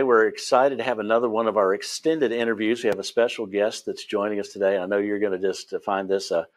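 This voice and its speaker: A man with a West Virginia accent hosting an interview, talking loosely with restarts. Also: fairly low pitch. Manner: rambling, many fillers and restarts. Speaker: host and Bible teacher. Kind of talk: casual interview.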